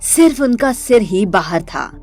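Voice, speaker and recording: A woman reading scripted narration for an animated moral story as its storyteller. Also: mid-range voice, measured pace, soft background music.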